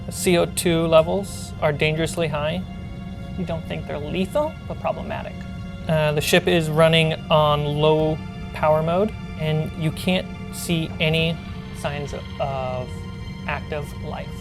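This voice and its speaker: A woman's voice: low-pitched, fairly even intonation, measured pace. A woman game master narrating a tabletop role-playing game in an improvised, suspenseful way. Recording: loud background music under the voice.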